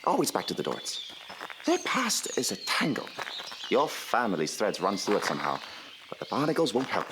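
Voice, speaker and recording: An excitable male conspirator from a period audio drama, acted by a man. He is theatrical and urgent, his pitch jumping all over, with distinct noise behind him. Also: normal speed. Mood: excited, conspiratorial. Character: excitable male ally urging Arthur to hunt for truth. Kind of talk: audio drama acting of Victorian period fiction.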